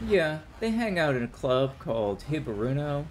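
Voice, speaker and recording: A man's voice: fairly high pitch, sing-song, moderate pace, slight background noise. A man voicing a wary street local in a visual novel, warning strangers about gangs in an emphatic way. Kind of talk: visual novel character reading.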